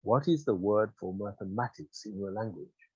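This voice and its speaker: A man voicing a condescending, sardonic German colonial officer, lecturing deliberately in a literary reading. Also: on the low side, husky, no echo, dull audio.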